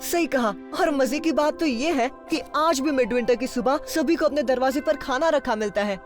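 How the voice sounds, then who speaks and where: A woman's voice: high-pitched, normal speed, lightly acted. A woman voicing a friendly young townswoman who explains things like a storyteller in a cartoon dub. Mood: explaining. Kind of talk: animated series dub. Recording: clear music behind the voice. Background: music.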